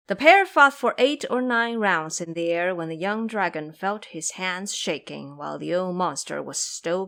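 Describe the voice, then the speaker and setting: A woman's voice: on the low side, fairly bright tone. A woman narrating a scripted fantasy story in a dramatic storytelling style.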